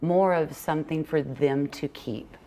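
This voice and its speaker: An older woman speaks in a strikingly low register. She talks conversationally as a guest being interviewed, explaining things plainly and in anecdotes. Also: unhurried, dark tone, a little hiss or hum. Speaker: interview guest, children's book author and illustrator. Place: TV morning show interview.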